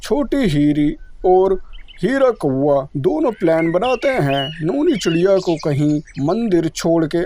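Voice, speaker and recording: A man's voice: fairly high pitch, very animated, takes it slowly, warm tone. A man narrating a scripted cartoon story for children, with faint noise in the recording.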